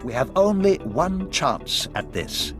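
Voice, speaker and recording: Courtly, scheming Sith lord, animated swooping voice. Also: male voice, a little high, slow, music in the background. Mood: conspiratorial. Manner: formal, courtly, eloquent. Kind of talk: video game cutscene acting.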